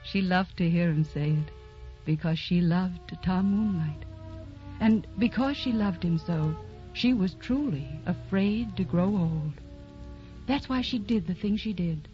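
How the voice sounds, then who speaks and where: An actress playing the spirit of romance, narrating an old radio drama in a lyrical, intimate, dramatic manner. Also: female voice, on the low side, measured pace, close to an everyday voice. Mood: wistful, tender. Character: Romance personified, dreamy, tender female storyteller. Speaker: narrator and host. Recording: dull vintage radio recording with music.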